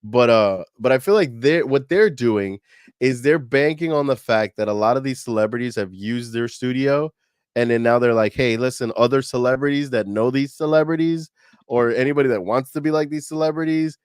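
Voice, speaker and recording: A man co-hosting a podcast in a loose, animated way, dropping slang and fillers as he talks. His voice sits in an ordinary middle pitch. The sound is close and dry, with no room around it. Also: fairly quick, clean tone, dry recording. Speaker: podcast co-host. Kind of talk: casual podcast chat.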